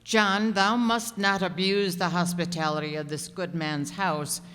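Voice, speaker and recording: Woman acting a grave, slow-spoken priest. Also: on the low side, fairly even intonation, a bit bright. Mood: grave warning. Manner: solemn archaic thee-and-thou speech. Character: grave, pious, protective ancient priest companion. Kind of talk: radio play acting. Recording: echoey hall, faint noise.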